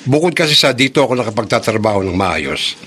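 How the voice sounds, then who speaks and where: An actor playing a grim, resolute male scientist in a radio drama, measured and formal. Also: fairly low pitch, big pitch swings, a bit bright, a little hiss or hum. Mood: grave.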